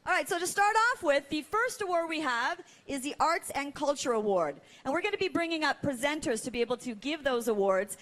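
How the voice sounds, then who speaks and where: A woman's voice: high voice, expressive, brisk, few pauses, fairly bright tone. A woman co-hosting a live awards ceremony, moving between scripted announcements and off-the-cuff remarks. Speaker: awards gala co-host.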